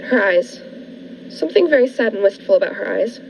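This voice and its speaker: A woman's voice: mid-range voice, animated, brisk, close to an everyday voice. A woman playing a naive, nervous newlywed bride in an old-fashioned radio thriller, speaking in genteel, dramatic, hesitant phrases. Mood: nervous, frightened. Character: naive, fluttery newlywed bride turning anxious and pleading. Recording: muffled lo-fi radio play, noisy background.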